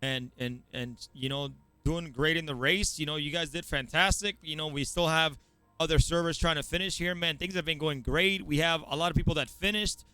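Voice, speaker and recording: A man's voice: fairly high pitch, a little fast, pushed and bright, smooth, dry recording. A man from the US Virgin Islands hosting an esports broadcast, stammering and repeating words in a loose, slangy chat. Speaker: esports commentator and host. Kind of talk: casual interview chat.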